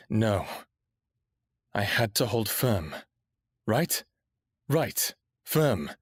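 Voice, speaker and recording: 低い声でゆっくり話す、反抗的な人間の男. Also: male voice, lowish voice, breathy edge, no echo, clean studio sound. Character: defiant, mouthy human man, captive yearning for freedom. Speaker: voice actor and first-person narrator. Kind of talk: audio drama acting with introspective narration.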